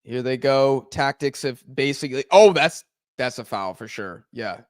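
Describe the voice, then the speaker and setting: A man hosting a live football watch-along, reacting excitedly to every chance and shouting at near misses, his voice going hoarse. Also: mid-range voice, normal speed, no room sound, studio quality. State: hoarse, losing voice.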